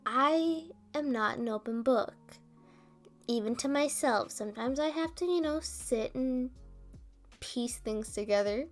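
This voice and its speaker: Woman acting as rambling female roommate, ASMR roleplay, higher-pitched, slow pace, halting, conversational, self-deprecating, defensive. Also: soft background music. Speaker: audio roleplay performer and script writer. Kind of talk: ASMR roleplay, one side of a casual conversation.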